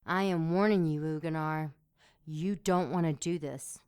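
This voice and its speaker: A woman's voice: deep voice, steady tone, a little slow, a little mellow, clear, resonant tone. A woman playing a brash, sarcastic succubus who talks back defiantly in an audio drama.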